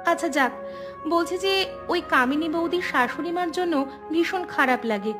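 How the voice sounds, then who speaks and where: Woman playing a high-voiced, probing village wife. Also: moderate pace. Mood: concerned, probing. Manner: questioning, insistent. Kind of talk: cartoon drama acting. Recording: music clearly behind the voice.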